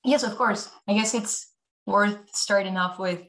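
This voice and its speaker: A woman's voice: medium pitch, measured pace, fairly bright tone. A young woman from Ecuador, a podcast guest telling her career story thoughtfully, often restarting and repeating words. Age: young adult. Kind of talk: podcast interview.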